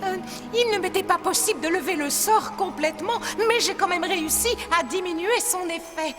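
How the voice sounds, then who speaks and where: A woman playing a kindly, flustered good fairy in a cartoon fairy tale, speaking gently and hesitantly. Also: extremely high pitch, normal speed. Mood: earnest, hesitant. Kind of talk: animated fairy tale dub. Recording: long hall reverb, music underneath. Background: music.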